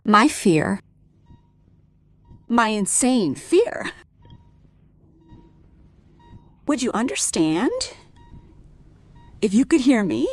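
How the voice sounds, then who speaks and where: An actress in a radio play voicing an anguished, fearful mother, speaking intimately and dramatically in a breathy, husky voice. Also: mid-range voice, full character voice. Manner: dramatic, swinging from hushed to urgent. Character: anguished, fearful wife and mother, intense and brooding.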